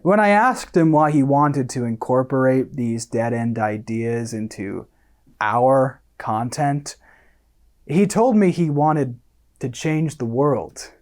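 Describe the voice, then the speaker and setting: An actor playing a smug, self-satisfied content creator in a radio monologue, condescending and theatrical, with deliberate pauses. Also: medium pitch, darkish tone, close to an everyday voice.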